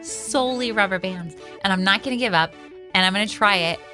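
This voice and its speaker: A woman's voice: mid-range voice, brisk, bright, forceful tone, soft background music. A woman co-hosting a morning radio show, laughing often and firing back sassy, defiant comebacks. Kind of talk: playful morning radio banter.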